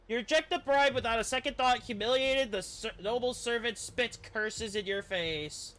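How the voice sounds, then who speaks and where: Guy streaming a game, high bright voice, reads the story out loud and chats casually, trips on words. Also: normal speed, slight background noise. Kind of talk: reading game story text aloud with casual commentary.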